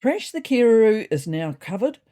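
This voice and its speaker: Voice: medium pitch, big pitch swings, moderate pace, warm tone, voice pushed up, natural voice. Storybook feline politician played by a narrator: grand, eager, self-important, swooping delivery. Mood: anxious. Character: pompous, eager young cat politician, newly made leader. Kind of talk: audio storybook character voice.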